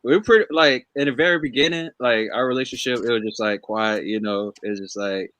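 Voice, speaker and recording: A man's voice: mid-range voice, fairly quick, a bit bright, dry recording. A man from North Carolina, a guest musician, talking casually and hesitantly with lots of "like". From North Carolina, USA. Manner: hesitant, fills speech with "like". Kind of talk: casual chat.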